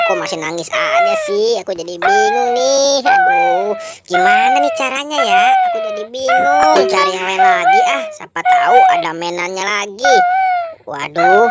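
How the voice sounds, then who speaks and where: Children's story hero played by a man: cheerful, easily flustered young uncle, chatty, wildly animated, music loud behind. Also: very high voice, heavy background noise, exaggerated voice.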